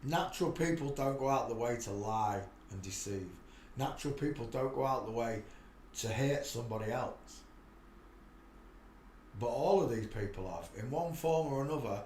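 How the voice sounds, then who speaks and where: A man's voice: medium pitch, lively intonation, moderate pace, slight background noise. Rambling preachy man in an echoey room. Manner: preachy, rambling, with frequent restarts and loud outbursts. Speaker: livestream host. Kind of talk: rambling live-stream monologue.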